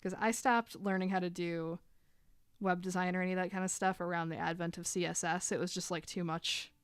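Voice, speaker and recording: A woman's voice: lowish voice, steady tone, fairly quick, smooth, no echo, clean studio sound. A woman in her thirties chatting casually while drawing on a live stream, rambling and filling gaps with "like". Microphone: desk microphone.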